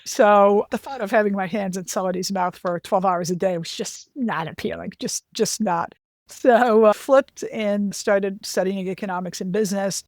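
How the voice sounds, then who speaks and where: A woman's voice: mid-range voice, moderate pace, a little mellow, no room sound, studio quality. A woman in her sixties who grew up in Lebanon, telling career stories in an interview with self-deprecating asides. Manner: anecdotal, self-deprecating, with frequent asides to the host. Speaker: interview guest, finance executive. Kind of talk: candid career storytelling.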